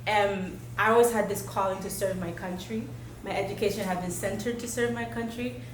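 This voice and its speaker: A woman's voice: medium pitch, steady tone, fairly quick. A young woman born in Haiti giving a heartfelt short testimony that builds up in lists. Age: young adult. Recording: echoey hall with noise.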